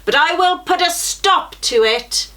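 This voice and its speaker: A woman voicing an angry young male giant in a children's storybook, loud and accusing. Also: very high-pitched, expressive, measured pace, voice pushed up, heavily acted.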